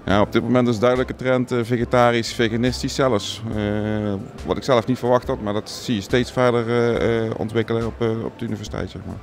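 A man's voice: mid-range voice, steady tone, brisk, hardly any pauses, soft background music. A middle-aged man, a catering account manager, answering interview questions hesitantly with many fillers in a university canteen. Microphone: handheld microphone held by interviewer.

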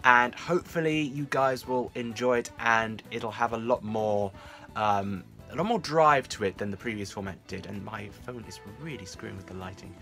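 A man's voice: fairly low pitch, moderate pace, fairly bright tone. Chatty young man vlogging over music. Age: young adult. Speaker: fan audio series creator and vlogger. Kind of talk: casual talk to camera, series update. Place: small room indoors at home.

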